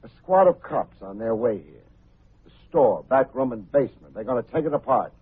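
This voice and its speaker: Wisecracking detective on tinny old radio. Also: male voice, mid-range voice, expressive, moderate pace, breathy edge. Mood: tough, accusing. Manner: wry, sardonic, slangy. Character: wisecracking, self-assured hard-boiled private detective, adult man. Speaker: lead actor and narrator in a detective radio drama. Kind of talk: vintage radio detective acting. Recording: muffled, tinny vintage radio recording.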